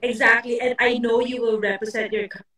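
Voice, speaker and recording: A woman's voice: a little high, steady tone, fast talker. Enthusiastic woman host on an echoey video call. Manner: warm, enthusiastic, many fillers. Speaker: interviewer. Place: indoor home room. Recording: echoey room, far from the mic.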